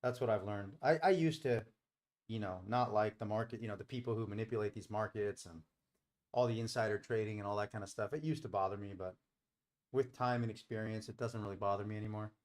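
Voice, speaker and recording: A man's voice: fairly low pitch, fast, darkish tone. A middle-aged man hosting a live stream, talking casually and explaining things in a rambling, informal way. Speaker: live stream host and trader.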